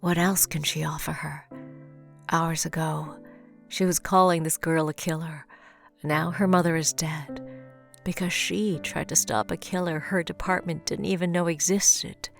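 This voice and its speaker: A woman narrating a dark audio drama as a solemn storyteller, in a measured, grave, scripted style. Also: low voice, fairly even intonation, creaky, soft background music. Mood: grave, suspenseful.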